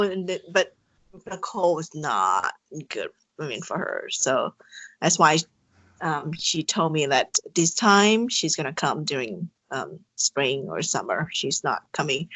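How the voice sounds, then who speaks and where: A Thai-accented woman talks in a low-pitched voice, and her English is her second language after Thai. She speaks haltingly, with plenty of fillers, restarts and frequent laughs. The sound is dry and close, with little room to it. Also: a bit bright, dry recording. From Thailand. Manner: laughs often between phrases. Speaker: podcast guest. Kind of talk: casual interview conversation.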